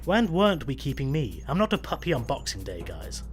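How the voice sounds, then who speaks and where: A man's voice: a little high, huge pitch swings, a little fast, soft background music. An actor playing a hapless, hopeful salesman stowaway in a sci-fi radio comedy, hesitant and earnest. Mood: indignant.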